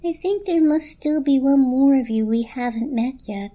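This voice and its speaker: Woman doing a high, timid, anxious old blanket. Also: normal speed, warm tone, close to an everyday voice. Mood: anxious, hopeful. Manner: timid, earnest, faltering. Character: shy, wounded, anxious old electric blanket.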